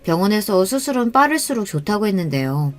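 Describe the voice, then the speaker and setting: A woman calmly narrating a first-person life story in an even, scripted manner. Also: mid-range voice, fairly quick.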